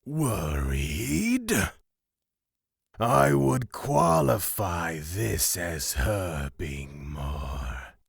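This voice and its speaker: A man playing a languid, wry god in a fantasy audio drama, with a gravelly, husky voice and a sardonic drawl. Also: low-pitched, very animated, drawn-out words, dry recording, clean studio sound, heavily acted.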